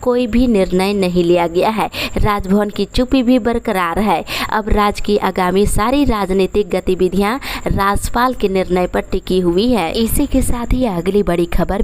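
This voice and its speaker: A woman's voice: mid-range voice, darkish tone. A woman with an Indian accent, presenting a scripted news bulletin as a newsreader.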